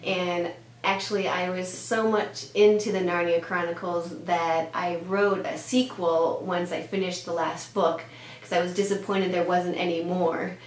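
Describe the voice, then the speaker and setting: A woman's voice: fairly low pitch, flat delivery, measured pace. Woman, 30s, from Denmark, Danish first language, pediatrician, casual chatty answers, echoey room. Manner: chatty, with frequent "uh" and "um" fillers. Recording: echoey room with faint hum.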